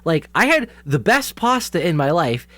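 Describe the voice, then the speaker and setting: A man's voice: a little high, big pitch swings, normal speed, a bit bright, no echo. A man whose first language is French, interviewing a guest in a chatty, casual way full of "like". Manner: chatty, filler-heavy with "like".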